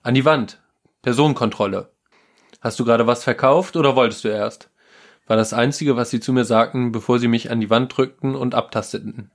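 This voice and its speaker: A man's voice: mid-range voice, fairly even intonation, fairly quick. A man with a mid-range voice co-hosts a casual talk podcast. He starts his turns hesitantly, with small fillers. Then he settles into reading a listener's story aloud. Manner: hesitant, fills with ähm and genau, then reads aloud. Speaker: podcast co-host.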